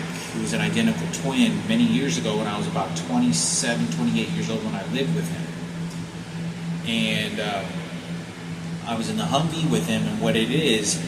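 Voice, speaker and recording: A man in his forties recording a rambling personal video message, halting and repetitive, with many fillers and restarts. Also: mid-range voice. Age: 40s. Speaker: vlogger. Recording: echoey room, mic at a distance, loud background noise.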